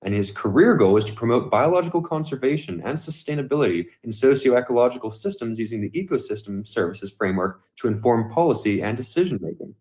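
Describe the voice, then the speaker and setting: A man's voice: medium pitch, animated, fast, few pauses, darkish tone. Man reading a formal introduction. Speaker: event host.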